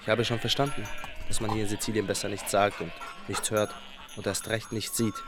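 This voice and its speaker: Actor as low-voiced naive young shepherd. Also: male voice, little pitch movement, brisk, natural voice. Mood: musing. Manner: simple, musing aloud, coaxing. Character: naive young male shepherd apprentice talking to his dog. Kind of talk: radio crime drama acting. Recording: music and bleating flock behind.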